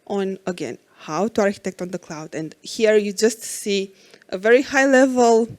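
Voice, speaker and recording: A woman's voice: mid-range voice, normal speed. A woman in her forties from Romania giving a conference talk as an IT architect, hesitant with many fillers.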